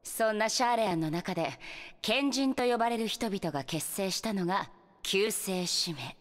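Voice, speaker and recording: A composed young woman leader of a fantasy game order, played by an actress. She speaks in a calm, measured way at a middle pitch, explaining things clearly. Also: natural voice. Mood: calm, explanatory. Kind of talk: Japanese video game cutscene acting.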